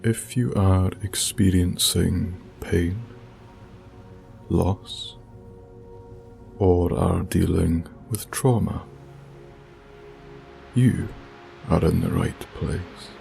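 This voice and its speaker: A man leads an ASMR guided meditation in a soft, hushed, soothing voice, speaking deliberately with long pauses. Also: low voice, vocal fry. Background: music with binaural beats.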